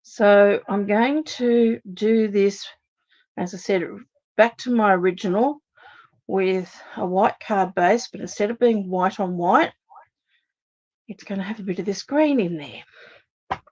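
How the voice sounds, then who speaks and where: A woman's voice: mid-range voice, takes it slowly, long pauses, a little mellow, lo-fi sound. Chatty Australian woman demonstrating crafts. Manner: chatty, thinks aloud, talks to viewers while working. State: slightly losing her voice. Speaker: card-making demonstrator hosting a live stream. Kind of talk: casual live crafting demonstration.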